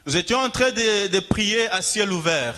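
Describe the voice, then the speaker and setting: A man interpreting a sermon live, phrase by phrase, in a halting way, often repeating and rephrasing himself. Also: sits high, expressive, noisy background.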